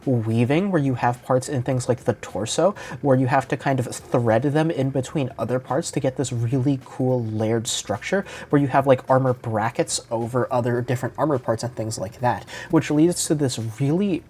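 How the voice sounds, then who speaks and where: A man's voice: medium pitch, normal speed, hardly any pauses, a little mellow, breathy edge. A man with a congested voice from a cold, chatting through a detailed model kit review. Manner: chatty and detailed, fond of "like" and "of course". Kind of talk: unboxing and product review.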